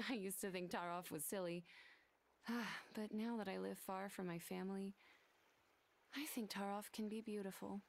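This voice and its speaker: An actress playing an earnest, romantic young woman doctor in a video game, speaking tenderly and expressively. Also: medium pitch. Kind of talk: animated video game cutscene acting.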